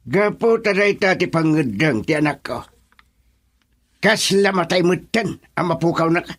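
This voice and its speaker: A man's voice: on the high side, animated, a little slow, fairly bright tone. An actor with an Ilocano accent playing a stern, proud middle-aged father in a radio drama. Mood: stern, challenging.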